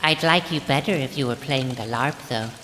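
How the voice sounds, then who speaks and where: A woman's voice: rumbling low, moderate pace, fairly bright tone. A woman playing a prim, earnest companion from a fantasy realm, with formal, old-fashioned phrasing in an audio drama. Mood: curious. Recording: long reverb, faint rain noise.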